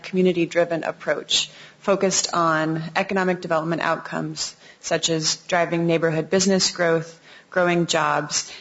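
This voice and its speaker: A woman with a fairly low voice delivers a polished progress report to a city commission, with only an occasional "um". The sound is muffled and lo-fi, as recorded in a public meeting room. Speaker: city agency staff presenter. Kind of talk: formal presentation to a commission.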